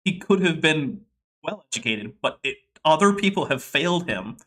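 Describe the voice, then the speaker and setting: A man from the Boston area hosting a podcast and explaining things in quick, talkative bursts. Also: fairly high pitch, big pitch swings, fairly bright tone. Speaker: podcast host. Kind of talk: casual commentary.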